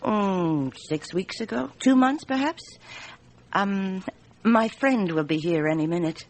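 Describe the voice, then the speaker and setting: Lively, candid Frenchwoman in her thirties. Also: mid-range voice, takes it slowly. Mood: candid, amused. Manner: chatty, hesitant, with little amused chuckles. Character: candid, amused 34-year-old Frenchwoman, plump bar hostess. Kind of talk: radio drama dialogue.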